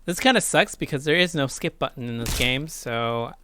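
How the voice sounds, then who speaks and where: A man's voice: mid-range voice, moderate pace, pushed and bright, slight background noise. A man giving casual, rambling let's play commentary with crude joking asides. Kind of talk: casual game commentary and read-aloud.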